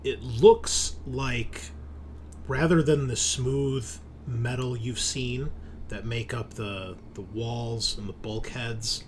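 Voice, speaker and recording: A man's voice: mid-range voice, takes it slowly, slight background noise. A man narrating a tabletop horror roleplaying game as game master, descriptive and conversational, with some swearing.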